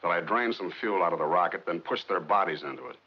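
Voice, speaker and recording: An actor playing a brooding, determined scientist in a 1950s film drama, with clipped, earnest, sardonic delivery. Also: male voice, low-pitched, fast, husky. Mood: bitter, resigned. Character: brooding, determined male rocket scientist accused of murder. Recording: muffled 1950s film soundtrack.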